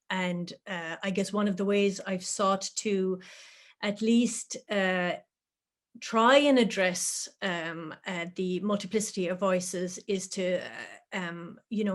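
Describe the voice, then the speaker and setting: A woman lecturer speaks on an online panel. She pauses often and fills the gaps with "um" and "uh" as she thinks. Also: medium pitch. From Ireland. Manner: hesitant, many "um" and "uh" fillers. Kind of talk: panel discussion.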